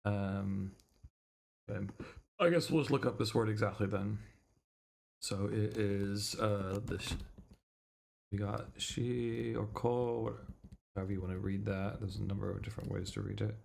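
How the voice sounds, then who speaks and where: A man's voice: fairly low pitch, steady tone, unhurried, gravelly, long pauses, darkish tone, slight background noise. A man doing casual livestream commentary on a visual novel, thinking aloud in a muttering way and swearing casually. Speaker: streamer reading a visual novel.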